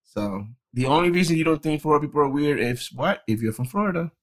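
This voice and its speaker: A man's voice: on the high side, lively intonation, fast. A man from the Dominican Republic, co-hosting a casual podcast chat in a laid-back way and swearing freely. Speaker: podcast co-host.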